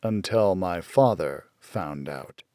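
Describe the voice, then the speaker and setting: A man reading first-person fiction as an audiobook narrator, in a measured, dramatic storytelling manner. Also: sits low, animated, warm tone, clean tone, no room sound, studio quality.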